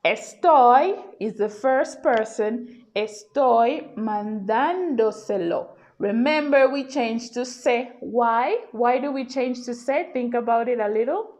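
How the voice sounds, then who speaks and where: A woman with a fairly high voice teaches an informal grammar lesson. She speaks very slowly, explaining step by step in a patient way and often checking that the learner follows. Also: darkish tone. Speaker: Spanish language teacher.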